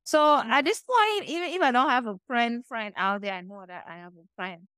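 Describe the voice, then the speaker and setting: A middle-aged woman from Cameroon with a Cameroonian accent, talking as an interview guest and telling stories with animated acted-out dialogue. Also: fairly high pitch, moderate pace, clear, resonant tone, no echo, studio quality. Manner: animated storytelling, acts out quoted dialogue. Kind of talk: conversational interview.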